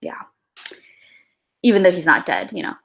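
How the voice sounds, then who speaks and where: A woman's voice: lowish voice, big pitch swings, moderate pace, gravelly. A young woman hosting a casual film livestream, chatty and gushing, with lots of fillers and sighs.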